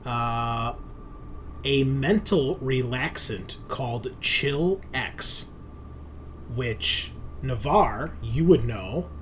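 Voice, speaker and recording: A man's voice: medium pitch, extremely slow, a little hiss or hum. A man running a tabletop sci-fi game as game master, describing scenes conversationally and correcting himself as he improvises.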